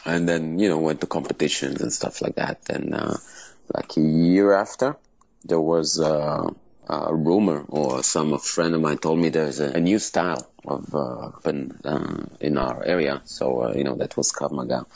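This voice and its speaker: Man in his fifties, Israeli accent, very low gravelly voice, dry close sound, halting speech. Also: fairly even intonation, darkish tone, dry recording, dull audio. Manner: halting, many pauses and fillers. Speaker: martial arts school owner. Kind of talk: casual interview answers.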